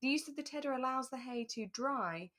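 A woman's voice: high-pitched, lively intonation, a little fast, fairly bright tone. A woman co-hosting a podcast, chatting casually and playfully about a radio drama. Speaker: podcast co-host.

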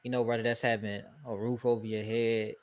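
A man's voice: lowish voice, flat delivery, a little fast. A young man from Houston co-hosting a casual podcast, talking in a laid-back way full of filler phrases. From Houston, Texas. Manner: laid-back, peppers talk with "you know" and "bro". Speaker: podcast co-host.

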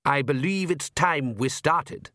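A man's voice: a little high, slow, pushed and bright, no echo, clean studio sound, pitched above the performer's own voice. Man playing a suave, drawling vampire count. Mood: cheerful, self-satisfied. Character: suave, cheerful, patronising aristocratic vampire father.

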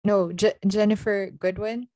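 A woman's voice: medium pitch, steady tone, measured pace, warm tone, no room sound. A woman co-hosting a book podcast, chatting casually with blunt, emphatic opinions and a ready laugh.

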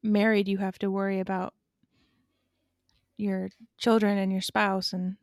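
A woman talks thoughtfully in a calm, level tone with little rise and fall. Her delivery is steady and unhurried. The sound is dry and close. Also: medium pitch, no echo. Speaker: podcast co-host. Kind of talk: casual faith discussion.

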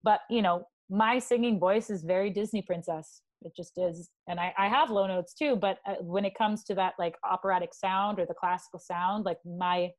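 A young adult woman from Canada with a low speaking voice, chatting loosely as a podcast guest and leaning on filler words. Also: normal speed, hardly any pauses. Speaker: guest singer. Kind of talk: casual podcast chat.

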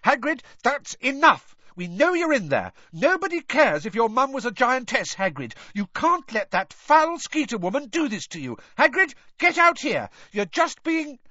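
A man voicing a bossy, fiercely loyal teenage schoolgirl in an audiobook, with a shrill, emphatic, scolding delivery. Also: fairly even intonation, normal speed, hardly any pauses, sharp tone, breathy edge, dry recording, dull audio. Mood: indignant, urgent.

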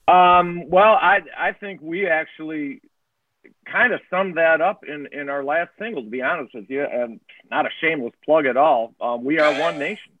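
A man's voice: fairly high pitch, no room sound. A man, a guest guitarist, answering hesitantly with many fillers in a casual interview. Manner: hesitant, halting, heavy on fillers. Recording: dry tinny phone-like line.

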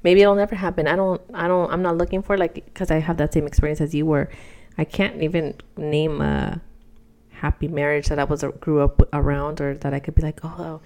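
A woman's voice: deep voice, level tone, brisk, darkish tone. A woman in her early thirties co-hosting a podcast, chatting casually in an informal, conversational way. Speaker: podcast co-host. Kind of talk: casual personal chat.

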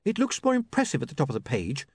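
A man's voice: high voice, very animated, fairly quick, no room sound, clean studio sound, pitched above the performer's own voice. A man voicing a shy, proper young woman who is eager but nervous, with a modest, hesitant manner.

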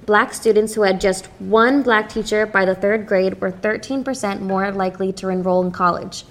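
A woman co-host presents bill details from prepared notes at a steady, measured pace. Her pitch sits in the middle of the range. She keeps her delivery even and clear.

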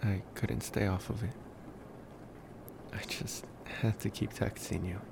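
A young male vampire in love, played by a man, murmurs softly in an intimate ASMR roleplay. His low voice crackles with fry and moves very slowly, tender and soothing, with breaks between phrases. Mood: sheepish, apologetic. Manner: hushed, halting, soothing, affectionate. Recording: clear steady background ambient noise.

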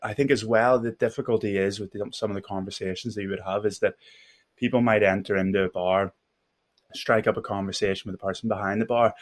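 A man with a Belfast accent speaks as an interview guest in a low voice. His intonation stays very even. He is reflective, feeling his way through each thought with some hesitation. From Northern Ireland. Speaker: podcast guest, mental health first aid instructor.

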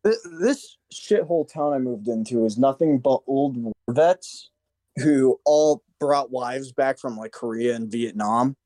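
A man's voice: medium pitch, a little slow, dark tone, dry recording. A man from Serbia chatting casually and jokingly as a podcast co-host, with crude, sweary talk. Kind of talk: casual joking group chat.

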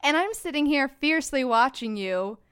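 A woman's voice: high voice, animated, moderate pace, fairly bright tone. A woman co-hosting a podcast, chatting in a bubbly, sassy way and telling stories. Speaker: podcast co-host. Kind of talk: casual chat.

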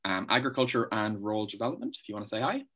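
A man's voice: fairly low pitch, expressive, rapid-fire, sharp tone, dull audio, slight background noise. A man hosting a podcast interview and asking questions in a hesitant way, with "um" and "like" fillers. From Ireland.

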